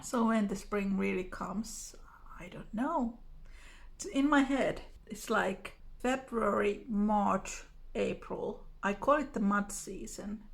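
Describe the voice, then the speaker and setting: A woman vlogger with a Finnish accent talks casually to her viewers. Her medium-pitched voice has a noticeable creak and fry, and she takes her time as she speaks in her second language. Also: slow pace. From Finland. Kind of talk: casual chat to camera, answering tag questions.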